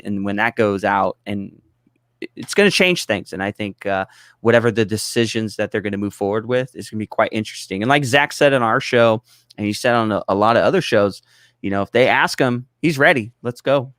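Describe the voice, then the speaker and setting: A man's voice: fairly low pitch, a little fast, a bit bright, dry recording, studio quality. A man talking casually in a streamed panel discussion.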